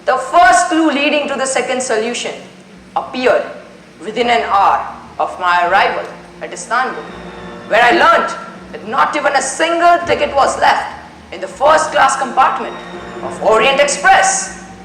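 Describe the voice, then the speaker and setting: A young performer in a school play acting as a male detective, declaiming with deliberate, dramatic pauses. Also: high voice, very animated, pushed and bright, a little hiss or hum, full character voice. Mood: dramatic recounting. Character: theatrical male detective solving a murder. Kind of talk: amateur school stage play monologue. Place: school stage. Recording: echoey stage recording with music behind.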